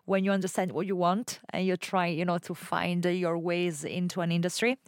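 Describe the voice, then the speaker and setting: A woman's voice: fairly low pitch, no echo, clean studio sound. A young adult woman hosting an interview, asking long questions with many fillers, searching for her words. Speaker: podcast host, interviewer.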